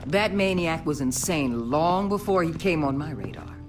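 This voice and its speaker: A woman's voice: sits low, animated, normal speed, music in the background. A woman playing a ruthless government agency chief in a video game, with a hard, blunt, authoritative manner. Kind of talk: dramatic video game acting.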